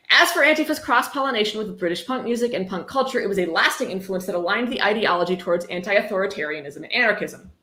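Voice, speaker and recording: Casual female host, dry sarcasm between read-aloud passages, mid-pitched voice in an echoey room. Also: a bit bright. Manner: sarcastic, mock-approving asides. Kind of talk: casual live commentary with a read-aloud book.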